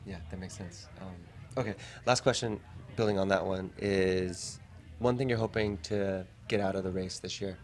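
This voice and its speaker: A host with a very low voice runs a relaxed, casual interview. The host keeps things easy with frequent "yeah" and "I love it" and asks questions in a laid-back way. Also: moderate pace.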